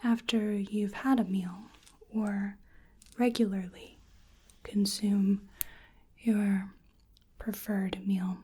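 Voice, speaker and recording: A woman whispering softly and breathily in an ASMR roleplay as a polite, curious researcher doing a gentle exam. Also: medium pitch, little pitch movement. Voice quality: breathy whisper and soft speech. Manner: gentle, hesitant, polite, unhurried.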